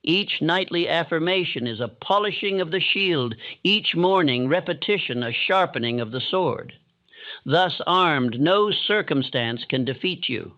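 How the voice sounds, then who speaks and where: Calm, higher-voiced man narrating, dry sound. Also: a little slow, no room sound, lo-fi sound. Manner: calm, steady, solemn delivery. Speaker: audiobook narrator. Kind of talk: scripted motivational narration, sleep audiobook.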